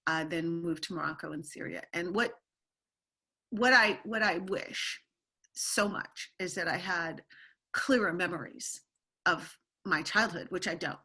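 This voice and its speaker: A middle-aged woman hosting an interview podcast, talking in an animated way with many restarts and asides. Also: fairly low pitch, measured pace, breathy edge. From born in Turkey to an American family. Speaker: podcast host. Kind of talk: conversational interview.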